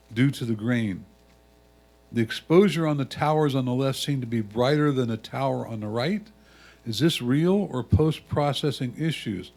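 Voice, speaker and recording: A man reading a judge's written comments aloud as a critique. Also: medium pitch.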